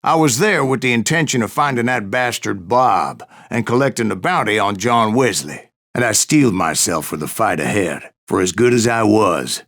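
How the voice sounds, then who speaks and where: An actor playing a grizzled old bounty hunter, a boastful, folksy storyteller with a gravelly Western American drawl in a video game. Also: male voice, fairly low pitch, animated, moderate pace, hardly any pauses, fairly bright tone. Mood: wry, dramatic storytelling. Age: older. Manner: folksy, salty, yarn-spinning. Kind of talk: dramatic first-person video game narration.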